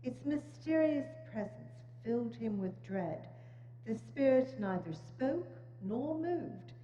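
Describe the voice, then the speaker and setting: A woman's voice: on the high side, animated, slow pace, dark tone, faint music behind. A woman reading scripted narration for a dramatic reading of a story. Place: large church hall, indoors. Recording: muffled echoey church hall, humming noise.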